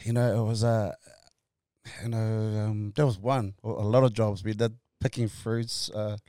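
A man in his forties from Tonga, telling his story as an interview guest in a halting way, full of fillers and restarts, laughing easily. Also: lowish voice, little pitch movement, dark tone, no room sound, clean studio sound. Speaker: guest, company co-founder. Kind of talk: casual interview chat.

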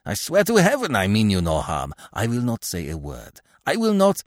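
A man voicing a sullen, resentful and fearful ferryman with a gruff, exclamatory manner in an audiobook story. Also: very deep voice, very animated, normal speed, dry recording, studio quality, heavily acted. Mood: terrified pleading. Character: sullen, frightened ferryman, angry and resentful, then pleading.

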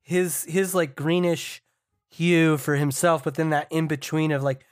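A woman's voice: sits low, steady tone, measured pace, no echo, studio quality. A woman co-hosting a podcast, thinking aloud in a casual, informal chat about comics. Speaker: podcast co-host. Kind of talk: casual comic book discussion.

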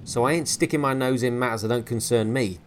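A man's voice: mid-range voice, fast, slight background noise, pitched above the performer's own voice, natural voice. A man voicing a surly male sailor who barks dismissively. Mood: irritable. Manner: barking, dismissive.

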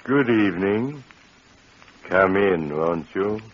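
Creepy smooth doctor storyteller, super deep voice, muffled old radio sound. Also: male voice, expressive. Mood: ominous. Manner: slow, silky, ominous storyteller. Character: sinister doctor host, middle-aged man, smooth and menacing. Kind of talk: 1940s radio drama acting. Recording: dull lo-fi old radio recording with hiss.

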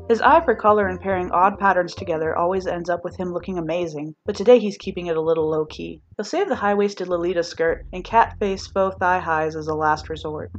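A woman with a fairly low voice narrates a scripted story expressively. The recording is a little muffled, and soft music plays underneath. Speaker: narrator reading fan fiction.

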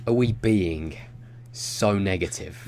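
A man's voice: low voice, takes it slowly, background noise. A man co-hosting a podcast, teasing his co-host and swearing often in casual chat.

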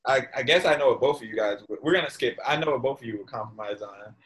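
A man hosts a casual podcast chat, asking questions and teasing his guests in a loose way. His voice sits at an ordinary pitch for a man. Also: fast, a bit bright, poor audio. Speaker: podcast host.

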